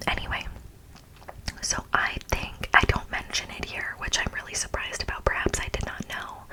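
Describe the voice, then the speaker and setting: A woman hosting whispered ASMR in a breathy, unvoiced whisper, speaking informally and emphatically and repeating phrases. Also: bright, forceful tone, low-quality recording. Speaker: ASMR video host. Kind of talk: whispered ASMR, part reading, part casual commentary.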